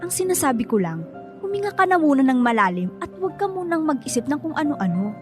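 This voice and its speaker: A woman's voice: high voice, very animated, quick pace, over music. A woman playing a supportive, level-headed young girlfriend in a Filipino radio drama, earnest and easily exasperated. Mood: defensive, reasoning. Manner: placating, earnest.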